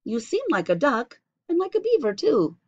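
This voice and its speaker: A woman voicing a friendly, encouraging young male cat in a slow, sing-song storybook manner. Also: on the high side, wildly swooping pitch, no room sound, voice pushed up. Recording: dull lo-fi webcam recording.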